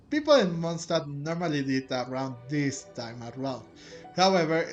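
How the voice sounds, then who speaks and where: A man giving casual game commentary as he plays, rambling and halting as he talks. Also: a little high, dull audio. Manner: rambling, halting, frequent chuckles. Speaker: gaming streamer playing a story quest.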